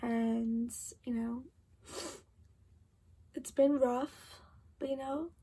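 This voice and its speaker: A woman's voice: fairly high pitch, fairly even intonation, very slow, mellow, slightly rough. An eighteen-year-old woman chatting to camera late at night in her bedroom, tired and rambling with lots of "like" and "literally". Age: 18, about to turn 19. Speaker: vlogger. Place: bedroom at home, indoors.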